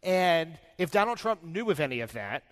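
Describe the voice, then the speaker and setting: A man giving casual political commentary with emphatic, halting phrasing. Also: a little high, sing-song. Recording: echoey live hall.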